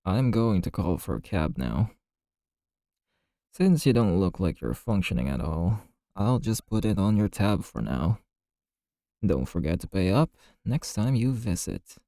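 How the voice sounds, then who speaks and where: Man as a low, slow-talking chatty bartender. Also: very animated, warm tone, no room sound, studio quality, exaggerated voice. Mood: relaxed, friendly. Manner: gossipy, unhurried, colloquial. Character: chatty, amused male bartender, easygoing and friendly. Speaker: bartender in audio roleplay. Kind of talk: ASMR roleplay, casual storytelling.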